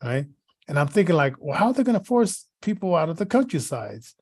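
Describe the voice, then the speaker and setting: A male radio host chats casually in a slightly high voice. He speaks in a halting way, doubling back over words and phrases. The sound is dry, with little room in it. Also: sing-song, a little mellow, slightly rough, no echo. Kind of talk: casual talk show chat.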